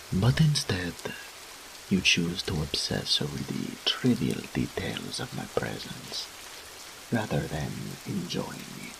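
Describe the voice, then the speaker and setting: Casting: male incubus demon, played by a man, smug and theatrical, slow drawl with wide swoops, audio drama with clear rain-like hiss behind. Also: a bass voice. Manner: teasing, haughty, purring, theatrical drawl. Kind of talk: scripted roleplay audio drama monologue.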